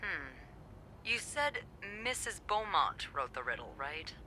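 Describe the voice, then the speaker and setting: Wry, bright-voiced, lively receptionist. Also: female voice, low-pitched, takes it slowly, slight background noise, full character voice, rough recording. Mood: wry, playful. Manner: wry, teasing, expressive. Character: sardonic, playful young female hotel receptionist in 1950s mystery game. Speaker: hotel receptionist. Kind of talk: conversational video game acting.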